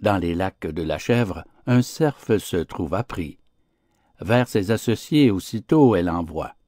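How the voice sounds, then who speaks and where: A man's voice: sits low, animated, unhurried, mellow, no room sound, studio quality. A man narrating fables for an audiobook in a theatrical, scripted storytelling style.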